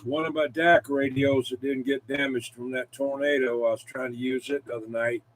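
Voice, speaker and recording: A man's voice: mid-range voice, steady tone, normal speed, low-quality recording. A man in casual CB radio chat about equipment, rambling in a folksy way and dropping in radio slang. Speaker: CB radio hobbyist.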